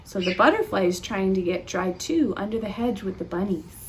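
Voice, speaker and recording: A woman's voice: mid-range voice, noisy background. A woman reading a picture book aloud to young children, acting it up theatrically and putting questions to them. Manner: animated, theatrical gasps and pauses for young listeners. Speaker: children's storytime reader.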